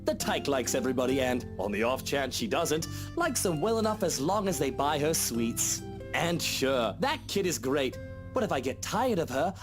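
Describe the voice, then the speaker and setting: A man's voice: a little high, voice pushed up. A man voicing a weary, curt young businessman husband in a visual novel, dry and grumbling. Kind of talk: visual novel character reading.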